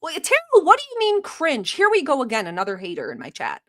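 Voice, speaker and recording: A woman's voice: high-pitched, wildly swooping pitch, fast, a bit bright. Chatty, teasing Turkish-born woman streamer. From Turkey. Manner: chatty, teasing, laughs often, swears casually. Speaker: livestream host. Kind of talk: casual livestream chat reacting to a video.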